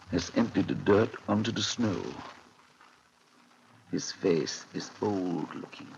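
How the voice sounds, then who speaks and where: An actor playing a grave, commanding vampire hunter in 1930s radio drama, intense and urgent with dramatic pauses. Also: male voice, low voice, creaky, exaggerated voice. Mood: hushed dread. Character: grave, commanding male vampire-hunting doctor leading his band. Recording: dull, faintly noisy 1930s radio recording.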